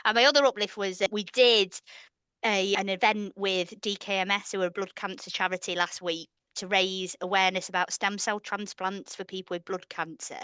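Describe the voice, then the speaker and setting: A woman's voice: medium pitch, fairly even intonation, normal speed, sharp tone, smooth, no echo, poor audio. A woman co-hosting a casual workplace podcast. She speaks in a chatty way and laughs easily. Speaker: podcast co-host.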